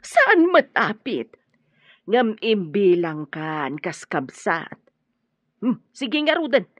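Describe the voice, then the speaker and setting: A woman's voice: mid-range voice, big pitch swings, takes it slowly, dry recording. A woman playing a nervous, talkative, deferential household servant with an Ilocano accent in a radio drama. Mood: worried. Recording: dull, dry radio drama audio.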